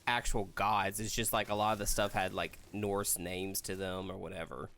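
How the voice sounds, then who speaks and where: A man's voice: low-pitched, flat delivery, normal speed, a bit bright. A man chatting casually as a podcast co-host, with a hesitant, rambling delivery. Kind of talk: casual chat.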